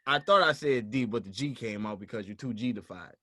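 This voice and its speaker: A man's voice: medium pitch, brisk, sharp tone. A man hosting a podcast, joking and playful as he leads the chat. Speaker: podcast host. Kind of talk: casual interview chat.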